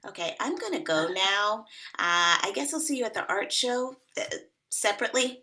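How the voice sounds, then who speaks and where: An actress at a table read playing a blunt woman in her thirties, with a dry, deadpan delivery. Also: mid-range voice, moderate pace. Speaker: actor playing Megan. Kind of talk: table read of a comedy pilot.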